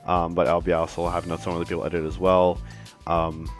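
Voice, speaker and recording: Deep-voiced man, cold-roughened raspy voice, rambling update talk, faint music behind. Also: completely flat delivery, fairly quick. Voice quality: rambling, many fillers and restarts. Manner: apologetic, appreciative. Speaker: self-published author giving updates. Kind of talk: casual update video.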